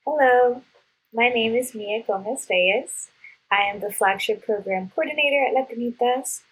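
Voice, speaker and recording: A young woman from Texas introducing herself on a podcast, steady and matter-of-fact. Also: a little high, moderate pace, husky, poor audio. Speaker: podcast guest.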